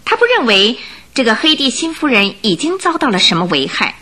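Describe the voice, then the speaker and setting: A woman's voice: a little high, sing-song, fairly quick, pushed and bright. A woman narrating a radio drama, reading scripted story and news reports.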